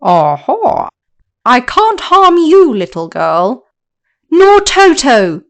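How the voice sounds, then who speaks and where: A woman's voice: sits high, wildly swooping pitch, drawn-out words, full character voice. A woman voicing a cruel, cackling old witch in a storybook reading, with a snarling, gloating manner. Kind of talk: storybook character voice.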